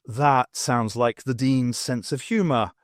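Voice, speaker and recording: A man's voice: medium pitch, takes it slowly, dry recording, studio quality, lightly acted. A man voicing a wry, casual female professor in an audiobook.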